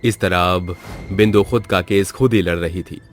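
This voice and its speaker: A man's voice: sits low, fairly even intonation, normal speed, faint music behind. A man narrating a scripted moral story as its storyteller.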